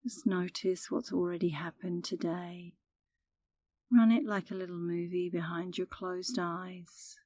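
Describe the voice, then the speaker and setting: A middle-aged woman leading a guided meditation in a calm, soothing, unhurried manner, as an instructor speaking close to the microphone. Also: lowish voice.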